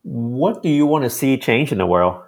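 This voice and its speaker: A man hosting a podcast, chatty and relaxed, who often ends his points with tag questions. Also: medium pitch, moderate pace. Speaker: podcast host. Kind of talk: casual interview.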